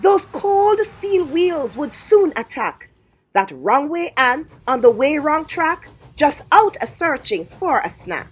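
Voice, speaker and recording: A woman reading a rhyming children's story aloud in a rhythmic, dramatic, expressive way. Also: sits high, takes it slowly, poor audio. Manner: rhythmic, dramatic, theatrical phrasing.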